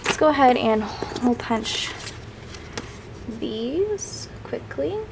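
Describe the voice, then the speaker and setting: A woman's voice: fairly high pitch, expressive, slow, gravelly, noisy background. Chatty sniffly young woman vlogger. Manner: chatty, rambling, upbeat. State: sniffly from crying. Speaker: planner vlogger. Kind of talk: casual craft vlog chat.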